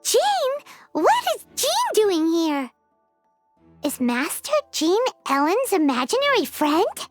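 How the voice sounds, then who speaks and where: Game fairy sidekick: extremely high, childlike, chirpy and exclamatory, slow-paced, music underneath. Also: female voice, wildly swooping pitch, dry recording, exaggerated voice. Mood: uneasy, puzzled. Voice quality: squeaky child-like voice. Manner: speaks of self in third person, exclamatory. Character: small childlike floating fairy companion, naive and chirpy. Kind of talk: English video game voice acting. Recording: music behind the voice.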